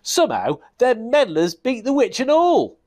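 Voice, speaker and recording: A man voicing a boastful, crude goblin king who bellows his orders in a rough manner. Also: very high-pitched, huge pitch swings, moderate pace, darkish tone, pitched above the performer's own voice, heavily acted. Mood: scornful. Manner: bellowing, brash and rough-spoken.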